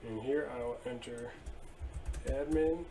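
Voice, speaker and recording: A man in his thirties at his desk, casually explaining software settings step by step with a few fillers. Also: medium pitch, takes it slowly, a little hiss or hum, poor audio. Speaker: IT tutorial presenter. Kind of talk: screen-share software walkthrough. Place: indoor room at a desk.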